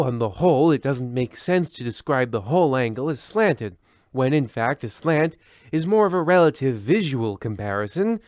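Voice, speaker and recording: A pompous, pedantic host of a spooky radio anthology played by a man, narrating in mock-ominous, rambling fashion. His pitch is medium and the recording is close and dry. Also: dry recording, lightly acted. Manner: pedantic, digressive.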